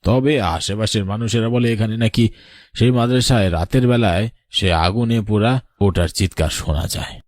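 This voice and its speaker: A man voicing a grave, knowing older village man in a horror cartoon, solemn and measured like a storyteller. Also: lowish voice, darkish tone, dry recording, clean studio sound, natural voice.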